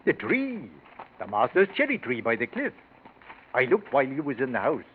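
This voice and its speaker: Rustic Yorkshire servant, wildly swooping voice. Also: male voice, mid-range voice, huge pitch swings, moderate pace. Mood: anxious, protective. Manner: deferential rustic dialect. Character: loyal, protective rustic male servant. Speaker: actor. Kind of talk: 1940s radio acting. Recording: tinny, noisy 1940s radio recording.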